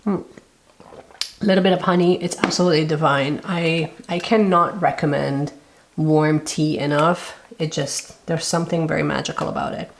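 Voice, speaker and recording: A young woman hosting her planner channel, chatting casually to camera, her voice raspy from a cold and full of little fillers. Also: low-pitched, steady tone, normal speed, a little hiss or hum. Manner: chatty, lots of fillers like kind of and like.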